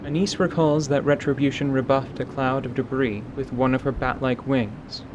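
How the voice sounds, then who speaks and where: A man giving scripted story narration for an audio drama in a measured, articulate manner. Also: medium pitch, steady tone, background noise.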